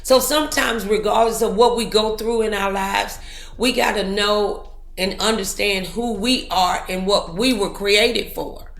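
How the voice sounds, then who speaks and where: A woman's voice: mid-range voice, unhurried, creaky, fairly bright tone, echoey room. A woman hosting a talk show, speaking in an encouraging, preachy way full of motivational sayings.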